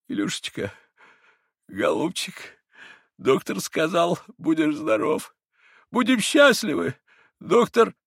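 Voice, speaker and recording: A man's voice: fairly high pitch, huge pitch swings, takes it slowly, creaky, pauses often, a bit bright, slightly rough, no echo, clean studio sound, pitched above the performer's own voice, exaggerated voice. Storybook role: broken-hearted poor father, tearful and coaxing, read by a man. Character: grieving poor middle-aged father.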